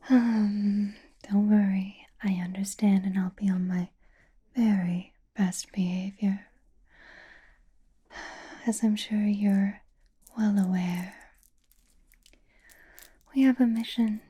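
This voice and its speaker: A female vampire, played by a woman whispers slowly and breathily, with a soft, mellow tone. She is coy and teasing, possessive of her pet, and gives intimate orders in a gothic ASMR roleplay. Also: medium pitch, husky. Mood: coy, conspiratorial. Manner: slow, coy, intimate, commanding.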